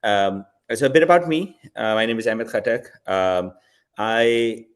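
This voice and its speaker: Low-voiced man from Pakistan, relaxed. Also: unhurried. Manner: relaxed, many fillers, reflective. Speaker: guest, company founder. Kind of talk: fireside chat interview.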